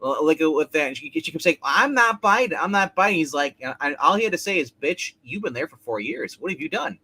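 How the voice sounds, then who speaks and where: A man's voice: on the high side, expressive, a little fast, sharp tone. A man chatting casually on a livestream, opinionated and repeating his phrases. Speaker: livestream co-host or guest. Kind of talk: casual political chat.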